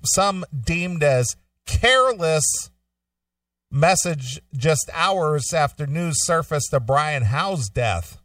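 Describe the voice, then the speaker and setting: A man's voice: a little high, lively intonation, takes it slowly, a bit bright, no room sound, clean studio sound, recorded from a distance. A man co-hosting a casual talk show, reading out news items and commenting in an informal, joking way. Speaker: show co-host.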